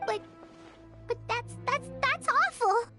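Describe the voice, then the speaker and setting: An actress voicing a tiny, childlike female companion creature in a video game, squeaky, earnest and anxious. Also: slow pace, loud music behind the voice. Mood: anxious, pleading. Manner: speaks of herself in third person, earnest.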